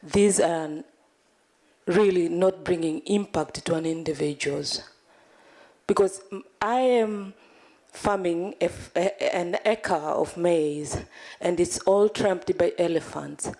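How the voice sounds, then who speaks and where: A woman's voice: on the low side, takes it slowly, dark tone. A woman from Tanzania, a civil society delegate, speaking earnestly from the floor of a large conference hall, pleading and restarting as she thinks aloud. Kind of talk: impassioned comment from the floor.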